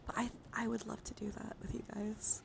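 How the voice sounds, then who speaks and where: Breathy woman with a creaky, whispery voice. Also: a little high, level tone, a little fast. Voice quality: breathy, often slipping into whispers. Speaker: livestreamer showing her card deck. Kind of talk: casual ASMR hand-cam chat with viewers. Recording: noticeable background noise.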